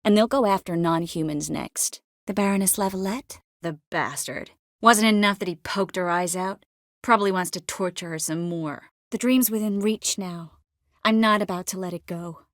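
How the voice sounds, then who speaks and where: A woman's voice: fairly low pitch, normal speed, no echo, studio quality, natural voice. A woman voicing a composed, caring young sorceress in a video game, earnest with a wry edge. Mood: serious, determined. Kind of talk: game dialogue acting.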